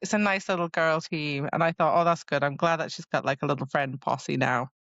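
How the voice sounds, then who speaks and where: A woman's voice: sits low, fairly quick, fairly bright tone, no room sound. Chatty woman podcast co-host, slightly muffled sound. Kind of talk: casual book discussion chat.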